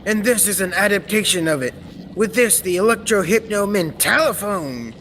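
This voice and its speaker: High-pitched male voice, student actor as earnest excitable inventor, formal technical delivery, radio serial, long reverb, some noise. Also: moderate pace. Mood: earnest, explanatory. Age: teenager or young adult. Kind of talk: old-time radio serial acting. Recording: reverberant hall, steady background noise.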